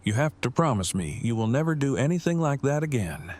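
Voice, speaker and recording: Guy acting as earnest survivor man, stiff formal wordy talker, medium pitch, audio drama. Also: big pitch swings, fairly quick, darkish tone. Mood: upset, earnest. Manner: stilted, wordy, formal phrasing.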